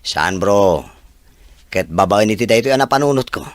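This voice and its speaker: Audio drama role: frank young buddy, played by a man, lowish, animated voice, casual and advising. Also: normal speed, no echo, close to an everyday voice. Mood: curious, persuasive. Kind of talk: Ilocano audio drama acting.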